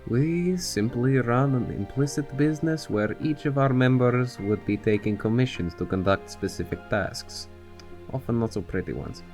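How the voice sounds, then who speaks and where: Man acting crime family runaway, rather low, dry, wordy, proud, animated series, soft music underneath. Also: lightly acted.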